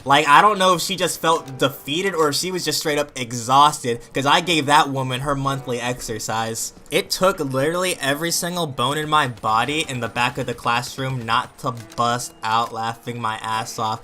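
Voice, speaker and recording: Bright-voiced young man telling a story. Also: medium pitch, moderate pace, few pauses. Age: young adult. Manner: informal, slangy, mildly profane, animated. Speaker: gaming YouTuber. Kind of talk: casual storytelling over gameplay.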